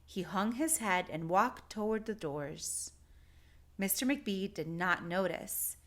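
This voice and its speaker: A woman narrating a picture book aloud for children, with deliberate, expressive phrasing. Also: medium pitch. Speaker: children's story reader.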